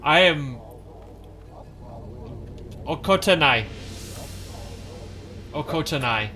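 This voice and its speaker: A man's voice: a little high, animated, extremely slow, sharp tone, a little hiss or hum. A man casually commenting as he plays a game, wry and self-deprecating, with half-mumbled asides. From not from Maine. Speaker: gaming streamer.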